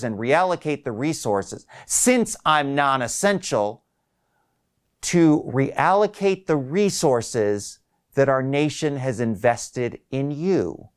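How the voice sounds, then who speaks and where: A man's voice: mid-range voice, clear, resonant tone, voice pushed up, close to an everyday voice. A man voicing a detached, officious female call agent who speaks in formal, bureaucratic phrases in a short story. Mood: firm, scripted. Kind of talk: acted short story dialogue.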